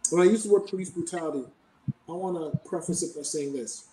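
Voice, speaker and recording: A Canadian man answering interview questions in a measured, explanatory way, often checking in with "right?". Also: a little high, roomy sound, distant mic, noisy background. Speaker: podcast guest.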